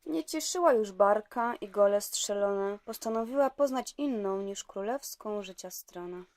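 A woman's voice: medium pitch, expressive, a little slow, darkish tone. A woman presenting a handmade album. She reads its rhymed fairy tale aloud in a storybook voice, then slips into plain, chatty remarks. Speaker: craft maker presenting her handmade album.

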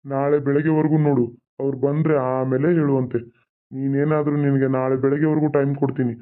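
A man's voice: mid-range voice, little pitch movement, fast talker, lightly acted. A man voicing a scheming adult male village villain who mocks and threatens. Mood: threatening. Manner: sneering, mocking, menacing. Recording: tinny phone-like sound.

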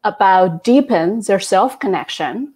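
A woman in her thirties from China with a Chinese accent, a coach speaking as a guest in a measured, friendly way with soft laughs, on earbuds over a video call. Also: medium pitch, expressive, husky. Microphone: wired earbud headset on a video call.

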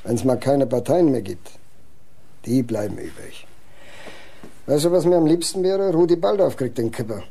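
An actor playing a plain-spoken, resigned truck driver grumbling colloquially in a radio play, with a gravelly voice. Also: male voice, mid-range voice, lively intonation, normal speed, long pauses, warm tone. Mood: resigned, skeptical. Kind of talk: German radio drama acting.

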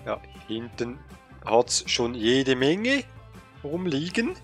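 A man with a cold and a middle-pitched voice talks slowly through a game session. He thinks aloud, hesitant and full of fillers. Faint music plays under his voice. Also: sing-song. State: has a cold. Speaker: let's play gamer. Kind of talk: casual gameplay commentary.

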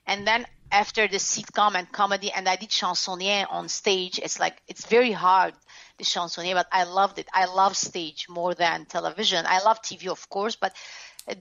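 Frank Lebanese-accented woman, gravelly. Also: medium pitch, steady tone, moderate pace, sharp tone, no echo, dull audio. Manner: frank, reflective, lots of fillers and restarts. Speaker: guest, author and former TV host. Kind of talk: candid interview storytelling.

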